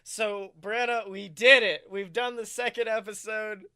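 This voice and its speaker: Male podcast co-host, higher register, forceful bright tone, excitable, casual and jokey storyteller. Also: measured pace, clean tone. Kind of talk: animated casual podcast chat.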